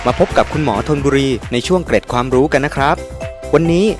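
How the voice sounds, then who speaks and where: A man's voice: mid-range voice, lively intonation, fast talker. A man presenting a children's television show, speaking to young viewers over music. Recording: music behind the voice.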